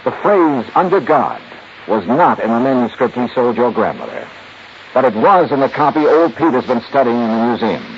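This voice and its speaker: An actor with an American accent playing a cool, shrewd investigator who narrates a 1950s radio drama. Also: male voice, medium pitch, very animated, moderate pace. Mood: accusing, confident. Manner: clipped, confident, probing. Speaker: lead detective and narrator. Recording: muffled, faintly noisy 1950s radio recording.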